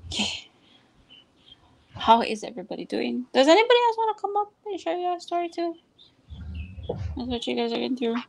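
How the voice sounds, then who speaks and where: A woman's voice: high-pitched, big pitch swings, fairly quick, creaky, long pauses. A woman from Hawaii with a Hawaiian accent, chatting casually on a stream and giggling often. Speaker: guest speaker. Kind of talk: casual chat.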